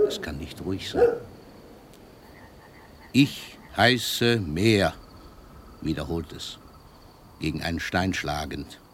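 An actor playing an aging, famous poet in a German radio drama, a gruff but patient mentor with a measured, declamatory delivery. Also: male voice, low-pitched, slight background noise. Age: older.

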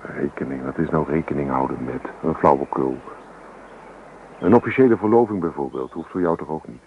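A man's voice: very deep voice, brisk. A man playing a carefree, teasing young suitor in a Dutch radio drama, coaxing and nonchalant. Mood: dismissive. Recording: muffled radio recording, buzzy noise.

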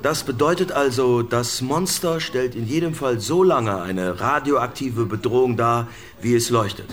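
An actor playing a composed news anchor in a radio drama, asking questions in a formal, measured, polite way. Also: male voice, mid-range voice, a little hiss or hum, natural voice. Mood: serious, inquiring. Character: composed male news anchor questioning experts on a monster disaster. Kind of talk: scripted radio-drama newscast interviewing.

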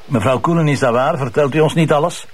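An actor playing a formal police commissioner in a radio drama, questioning directly as the one in charge. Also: male voice, on the high side, quick pace, husky. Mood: formal, probing.

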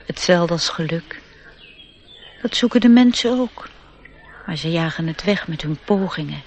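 Nature sprite, radio drama, knowing, disdainful, lecturing, mid-range pitch, faint background noise. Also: animated, measured pace. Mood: tutoring, assured. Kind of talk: radio play acting.

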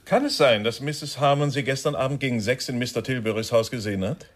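An actor playing a courteous, probing male police inspector in a crime radio play, questioning politely and deliberately. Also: mid-range voice, natural voice.

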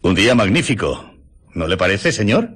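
An actor playing a chatty, curious sailor who gossips in an amused, halting way in a radio drama. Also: male voice, lowish voice, big pitch swings, fairly bright tone, slightly rough, full character voice.

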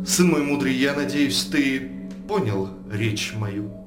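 An actor playing a learned, scheming cardinal in verse radio drama, speaking with solemn, preachy oratory. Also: male voice, mid-range voice, animated, slow pace, over music. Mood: sternly preaching. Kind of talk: classical verse stage drama. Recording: roomy radio-play recording.

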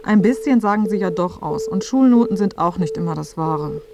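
A woman's voice: fairly low pitch, animated, normal speed. A woman reading scripted narration for a radio feature. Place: dry studio.

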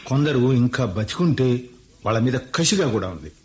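A man's voice: medium pitch, big pitch swings, fairly quick, close to an everyday voice. Brief: an actor as a playful, commanding middle-aged businessman, old lo-fi radio play. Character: wistful middle-aged male industrialist. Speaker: lead character. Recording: muffled radio play with faint music.

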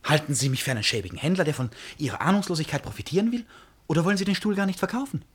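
Husky eccentric gentleman in a radio drama, formal and pushy, lonely lovesick type. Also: a little high, lively intonation. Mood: brusque, insistent. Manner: formal, theatrical, halting then pressing, abrupt turns. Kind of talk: German radio play acting.

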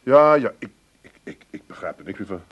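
An exasperated son in a 1980s radio comedy. His mid-pitched voice swings widely up and down. He is bewildered and sarcastic, repeating himself in a stammer. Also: animated, a little fast, a bit bright, highs cut off. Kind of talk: 1980s comic radio play acting.

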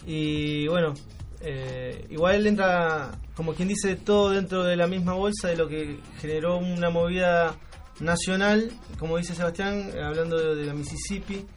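A man's voice: a little high, normal speed, faint music behind. A man with an Argentine accent in a casual interview as a band musician, hesitantly searching for his words.

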